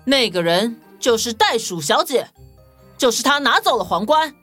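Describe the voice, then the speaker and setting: A woman voicing a sharp, calm young detective in a children's audio story, reasoning in a deliberate, matter-of-fact way. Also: on the high side. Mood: confident. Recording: music under the voice.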